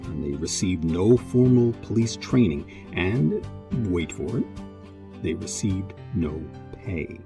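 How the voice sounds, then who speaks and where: A man narrating a scripted documentary in a measured way, with dramatic pauses and emphasis. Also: on the low side, vocal fry. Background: music.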